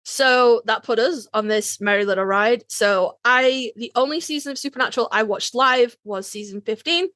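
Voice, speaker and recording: A woman's voice: fairly high pitch, moderate pace, few pauses, fairly bright tone, no room sound. A British woman co-hosting a podcast in casual chat, informal and sweary. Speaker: podcast co-host.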